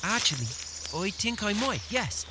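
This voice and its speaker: A man's voice: sits high, big pitch swings, normal speed, noisy background. A man playing a polite, earnest and slightly hesitant village boy in a fantasy audio drama.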